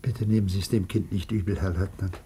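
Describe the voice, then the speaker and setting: An actor playing a careworn, tender old father in a radio drama, halting, pleading and apologetic, with a husky, creaky voice. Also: sits low, fairly even intonation, mellow, slight background noise, natural voice. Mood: anxious, pleading. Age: older.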